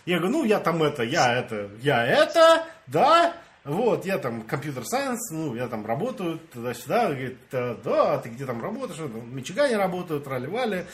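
A man's voice: fairly high pitch, brisk, few pauses. A young man from Russia, telling a story in casual chat with friends and acting out the dialogue. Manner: animated storyteller, acts out dialogue, laughs often.

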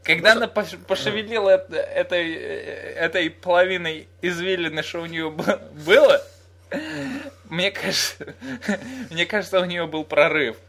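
A man's voice: high-pitched, a little slow, pushed and bright. A young man from Russia in casual, crude, joking chat with a friend, telling anecdotes and mimicking people.

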